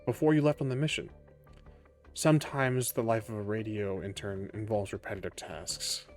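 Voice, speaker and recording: A man voicing a brisk community radio broadcaster briefing new interns in a tabletop roleplaying game. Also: fairly low pitch, steady tone, a little mellow, soft background music, natural voice. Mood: formal, welcoming. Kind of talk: scripted in-game narration.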